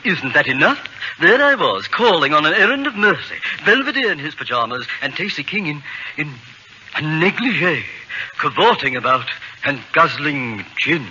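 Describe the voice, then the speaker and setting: A man's voice: a little high, big pitch swings, moderate pace, sharp tone, exaggerated voice. An actor playing a prissy, nosy, fussy male neighbor who loves gossip, in a 1940s radio comedy. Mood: scandalized. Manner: fussy, flustered, over-polite. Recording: noisy, dull old radio recording.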